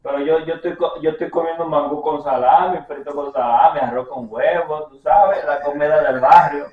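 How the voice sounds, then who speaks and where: A man's voice: on the high side, fairly even intonation, normal speed, echoey room, far from the mic, lo-fi sound. A man co-hosting a casual podcast, laughing and bantering with a friend over food talk. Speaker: podcast co-host.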